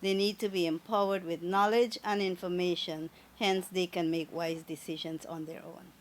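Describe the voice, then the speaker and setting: A woman from Guyana with a Guyanese accent, speaking as a government minister in a radio interview in a measured, formal way. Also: lowish voice, steady tone. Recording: radio studio with faint noise.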